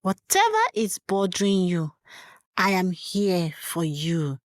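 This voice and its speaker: A woman voicing a caring mother who speaks gently and soothingly. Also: lowish voice, huge pitch swings, takes it slowly, no echo, clean studio sound, exaggerated voice. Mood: gentle.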